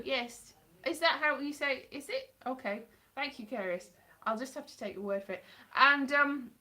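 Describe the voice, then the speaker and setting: A woman's voice: fairly high pitch, bright, forceful tone. Chatty, sarcastic woman live streaming from home. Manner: chatty, self-mocking, playfully sarcastic. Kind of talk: rambling casual live stream chat. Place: home hallway by a staircase, indoors. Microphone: webcam or phone at close range.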